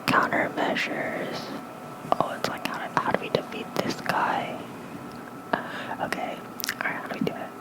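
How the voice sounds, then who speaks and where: An ASMR creator softly whispering a bedtime lore reading with casual asides, gentle and breathy, close on a fluffy-covered mic. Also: poor audio. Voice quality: soft breathy unvoiced whisper. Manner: slow, gentle, unhurried.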